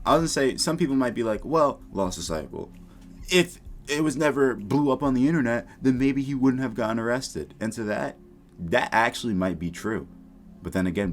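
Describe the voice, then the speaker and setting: Deep-voiced man, blunt casual commentary. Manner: blunt, slangy, profane. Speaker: YouTube commentator. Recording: music behind the voice. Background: background music.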